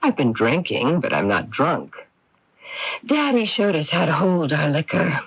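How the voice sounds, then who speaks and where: An actress playing a bitter middle-aged woman who is coolly threatening, sardonic and deliberate, in a radio mystery drama. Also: low-pitched, big pitch swings, slightly rough, dry recording, exaggerated voice. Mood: sardonic, composed. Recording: dull, lo-fi 1980s radio broadcast.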